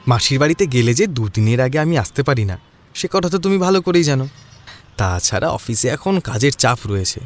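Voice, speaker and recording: A man's voice: mid-range voice, very animated, brisk, natural voice. A man playing a chatty office worker who explains himself conversationally in an audio drama. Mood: matter-of-fact. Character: chatty male office worker, narrator's friend.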